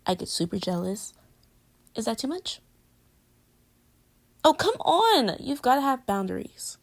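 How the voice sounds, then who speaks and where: A woman's voice: fairly high pitch, very animated, unhurried, creaky, exaggerated voice. A woman playing a playful, affectionate girlfriend in a casual, intimate pillow-talk roleplay, teasing her partner. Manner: casual, teasing, conversational with giggles. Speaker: audio roleplay voice actor.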